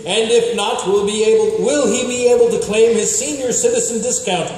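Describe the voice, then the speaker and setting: A man's voice: sits high, a little fast, darkish tone, close to an everyday voice. A man playing a bombastic, wry announcer of a pulp radio serial, grandiose and melodramatic with dry humour. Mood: cliffhanger suspense. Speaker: narrator. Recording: very echoey hall, far mic, noise.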